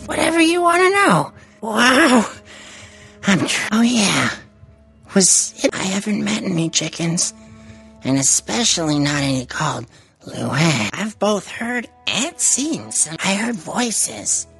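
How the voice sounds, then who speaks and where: Dazed, wildly swooping rat. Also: male voice, sits high, very animated, creaky, bright, forceful tone, slightly rough, faint music behind, exaggerated voice. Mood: dazed, rambling. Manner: halting, stammering, spaced-out. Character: dazed, drugged-out rat motel guest, scatterbrained. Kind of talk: video game character dialogue.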